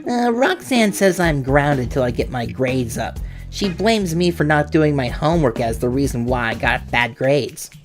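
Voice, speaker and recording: A woman's voice: very deep voice, animated, normal speed, soft background music. A woman voicing a cheeky, confident, wisecracking young boy in a cartoon comedy skit. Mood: indignant, sulky. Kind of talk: animated comedy skit voice acting.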